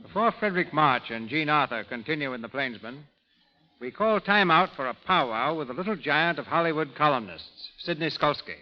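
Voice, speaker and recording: A man's voice: on the high side, animated, normal speed. A man hosting in the formal announcing style of 1930s radio. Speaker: radio show announcer and host. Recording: noisy, reverberant lo-fi 1930s radio recording.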